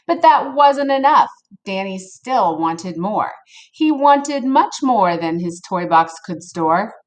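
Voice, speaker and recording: Slow-paced woman reading a children's storybook aloud. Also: mid-range voice. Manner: expressive, playful, addresses listeners directly. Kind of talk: read-aloud storytelling with casual asides to young listeners.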